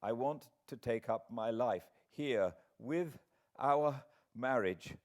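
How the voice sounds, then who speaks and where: A man voicing a solemn, shaken Victorian fiancé in an audiobook, halting and deadly earnest. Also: mid-range voice, a little mellow, lightly acted. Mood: solemn, troubled.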